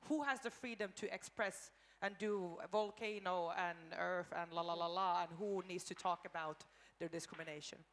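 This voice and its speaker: A woman's voice: on the low side, steady tone, normal speed, hardly any pauses, slight background noise. A woman choreographer moderating a panel discussion. She talks in a searching way, building lists and restarting her phrases. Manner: thoughtful, searching with fillers and repeated lists.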